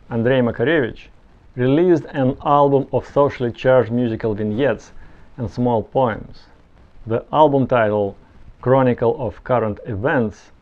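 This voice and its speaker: A man's voice: medium pitch, muffled recording. A man giving explanatory, semi-scripted narration as a video presenter. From Soviet Union.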